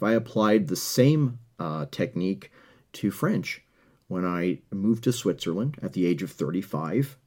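Low, mellow-voiced man giving earnest advice. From United States. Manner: earnest, repeats words while finding his phrasing. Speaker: podcast host. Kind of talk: motivational monologue.

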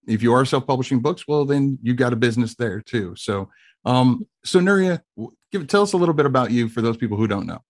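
A man's voice: mid-range voice, lively intonation, brisk. A man co-hosting a podcast, upbeat and casual, asking questions with plenty of ums. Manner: upbeat, leads with questions, says um a lot. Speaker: podcast co-host. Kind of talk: casual conversational podcast chat.

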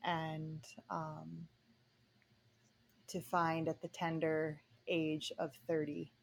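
A woman co-hosting a conversation, speaking slowly and thoughtfully with frequent pauses and fillers. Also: low-pitched, monotone, creaky, a little hiss or hum. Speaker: podcast co-host. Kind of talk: casual conversation.

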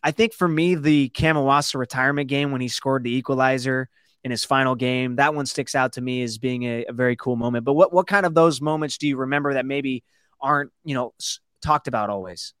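A man's voice: medium pitch, brisk, a bit bright, clear, resonant tone, no echo, clean studio sound. A man hosting a podcast, chatting casually and rambling a little as he interviews his guest. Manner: conversational, rambling. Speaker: podcast host.

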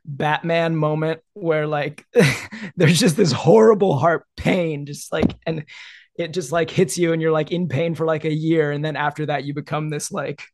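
A man's voice: fairly high pitch, fairly even intonation, moderate pace, no echo, clean studio sound. A young man chatting casually as a guest, rambling with many "like"s. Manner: rambling, heavy use of "like". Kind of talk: casual conversation.

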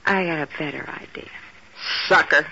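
An actress playing a scheming, cool, teasing femme fatale with an American accent in a 1940s radio detective drama. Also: female voice, low voice, normal speed, creaky. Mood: taunting, seductive. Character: sultry hard-boiled scheming femme fatale. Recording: dull, noisy old radio recording.

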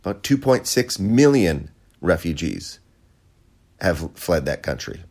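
A man's voice: sits low, sing-song, slow, darkish tone. An American man hosting a podcast, delivering a sarcastic, profane opinion rant. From United States. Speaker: podcast host. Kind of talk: opinion monologue.

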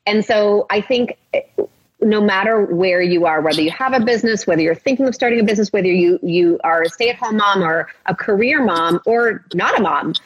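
A woman's voice: medium pitch, fairly quick, husky. A woman guest, a life coach, talking in an encouraging, motivational way in a casual podcast conversation. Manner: encouraging, motivational, talks in long flowing runs. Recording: muffled lo-fi audio.

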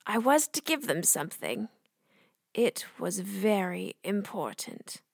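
Quirky elderly keeper of secrets, kids' audio drama; kindly, unhurried, wry, close dry sound. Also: female voice, medium pitch, very animated, gravelly, no room sound, exaggerated voice. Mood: grave, mysterious. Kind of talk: children's audio drama acting.

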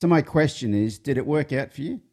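A man's voice: medium pitch, lively intonation, brisk, darkish tone, slightly rough. A man hosting a casual talk, telling rambling horse-training anecdotes and often restarting his sentences. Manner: rambling, self-interrupting, jokey anecdotes. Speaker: horse trainer and show host. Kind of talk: casual conversational storytelling.

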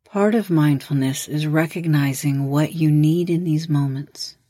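A woman with a low voice leads a guided meditation in a measured, instructional way. Her tone is slightly husky, and she leaves long pauses between prompts. The sound is very dry, with no room around it. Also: warm tone, no room sound. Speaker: host, guided meditation leader.

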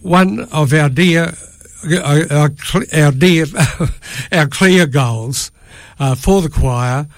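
A man's voice: a little high, takes it slowly, no room sound. An older man giving a prepared statement as a radio studio guest, formal and careful in his wording. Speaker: choir member, interview guest. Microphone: studio microphone.

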